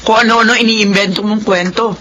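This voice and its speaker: A woman's voice: mid-range voice, fairly even intonation, a little fast, fairly bright tone, lo-fi sound, a little hiss or hum, lightly acted. An actress playing a scolding, exasperated middle-aged mother with a Filipino accent in a radio drama. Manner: nagging, reproachful.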